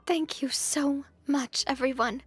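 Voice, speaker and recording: A high, youthful female voice for an earnest young boss in a video game,. She is expressive and easily flustered, and music plays underneath. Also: slow. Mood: touched, resolved. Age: young adult. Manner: expressive, earnest, hesitant when moved. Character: young woman organization leader, earnest, emotional, easily flustered. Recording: background music behind voice.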